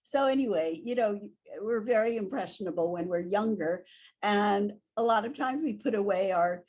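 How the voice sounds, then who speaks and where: An older woman from Hawaii, a guest in an interview, telling stories in an animated way and laughing easily. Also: mid-range voice, normal speed. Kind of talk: casual interview.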